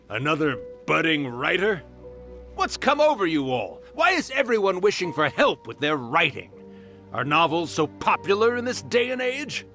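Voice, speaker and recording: A man's voice: on the high side, creaky, sharp tone, exaggerated voice. A man voicing a theatrical, mischievous male yokai spirit in a video game, with a grandiose, teasing drawl. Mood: amused, curious. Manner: grandiose, teasing, dramatic drawl with swooping emphasis. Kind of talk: scripted video game voice acting.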